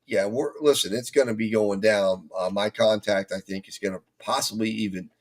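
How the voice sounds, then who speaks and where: A man hosting a casual livestream chat, hoarse and run down from the flu, talking in a rambling, halting way with many restarts. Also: on the low side, fairly even intonation. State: recovering from flu, losing his voice. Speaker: host.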